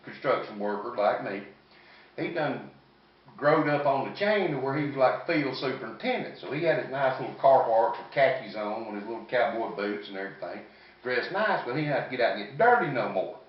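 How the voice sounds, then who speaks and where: A man's voice: medium pitch, lively intonation, brisk. A man in his fifties with a Southern US accent doing stand-up storytelling in a folksy, rambling, salty-tongued way. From American South. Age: 50s. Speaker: comedian. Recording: echoey, distant, muffled room recording.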